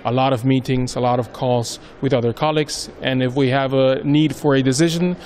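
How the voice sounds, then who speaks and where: A man's voice: mid-range voice, steady tone, brisk, a little mellow. Honduran man with an American-schooled accent. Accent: American-schooled Honduran English. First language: Spanish. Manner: conversational, frequent um fillers and restarts. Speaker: strategy manager at a postal company. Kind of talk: interview answers, to camera.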